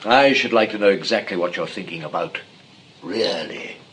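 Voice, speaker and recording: A man playing a polite, reserved English gentleman guest, hesitant and courteous, in a 1920s drawing-room comedy. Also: on the low side, moderate pace, slight background noise, natural voice. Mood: smitten.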